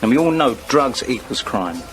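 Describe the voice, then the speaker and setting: A man's voice: mid-range voice, animated, moderate pace, music in the background, natural voice. A man playing an earnest, plain-spoken British detective sergeant in an audio drama. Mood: matter-of-fact, keen.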